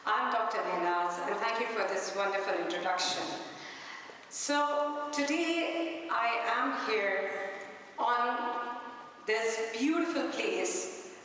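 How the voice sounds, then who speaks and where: A middle-aged woman from India giving an inspirational talk on stage, speaking in measured phrases with pauses for effect. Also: a little high, lively intonation, fairly bright tone, a little hiss or hum. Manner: slow, deliberate, with pauses for effect. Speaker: invited speaker. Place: large hall. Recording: echoey hall, far from the mic.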